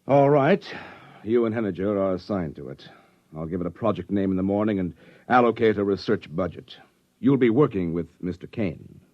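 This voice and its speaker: An actor playing a cautious, self-justifying middle-aged American executive, formal and blunt, in 1950s radio drama style. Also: male voice, lowish voice, expressive, normal speed, natural voice. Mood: brisk, impatient. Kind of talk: 1950s radio drama acting, part testimony, part dialogue. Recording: dull vintage 1950s radio recording.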